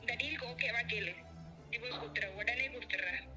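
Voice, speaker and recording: A woman's voice: fairly high pitch, fast, over music. A woman in a heated phone argument, speaking in an indignant, scolding and sarcastic manner. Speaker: wife being prank-called by her husband.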